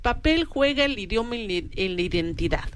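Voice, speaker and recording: A woman's voice: fairly high pitch, animated, a little fast. A middle-aged woman from Mexico hosting a radio talk show, chatting casually with plenty of fillers. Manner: conversational, many fillers like "o sea".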